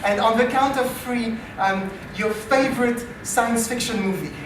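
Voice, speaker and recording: A man with a French accent, an AI researcher hosting a live improv comedy show, talking in a dry, self-deprecating way with halting restarts. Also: very high-pitched, noisy background. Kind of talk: live comedy stage talk. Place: live comedy meetup venue. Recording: distant, very echoey live venue.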